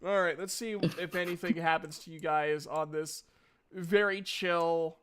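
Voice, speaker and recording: Man in his thirties, game master, bright forceful tone, highish pitch, casual, teasing, self-deprecating. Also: fairly even intonation, a little slow, clean tone. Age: 30s. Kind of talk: casual banter while running a tabletop game.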